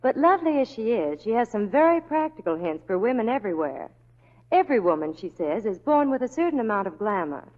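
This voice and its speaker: A woman radio reporter in the 1940s manner, with very animated intonation. Her scripted chat comes over a dull old broadcast recording. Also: on the high side, brisk. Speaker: Hollywood reporter on a radio show.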